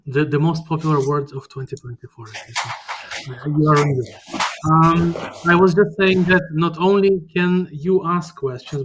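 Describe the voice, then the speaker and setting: A man's voice: a little high, a little hiss or hum, poor audio. A man moderating a webinar over a video call, politely reading audience questions from a list.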